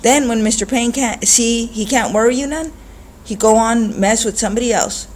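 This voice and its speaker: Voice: medium pitch, normal speed, darkish tone, a little hiss or hum, pitched above the performer's own voice, lightly acted. A reader voicing a weathered, street-wise old man with a folksy, easygoing dialect. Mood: reflective. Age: older.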